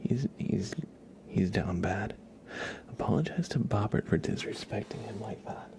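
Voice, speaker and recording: A man hosting an ASMR livestream, chatting playfully with viewers in a soft, whispery, breathy voice with mock-serious teasing. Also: lowish voice, a little slow, background noise.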